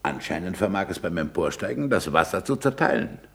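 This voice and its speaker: Deep, swooping, commanding scientist. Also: male voice, sing-song, brisk, gravelly, a bit bright, heavily acted. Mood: probing, didactic. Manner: didactic, probing questions, sardonic. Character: shrewd, commanding male scientist and teacher, ironic. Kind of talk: German stage-style radio play acting.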